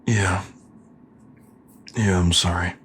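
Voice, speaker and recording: A man playing a shaken, weary soldier under questioning in an audio drama, halting and unfocused. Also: low voice, fairly even intonation, fairly bright tone, husky, heavily acted. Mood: shaken, distracted. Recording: noticeable background ambient noise.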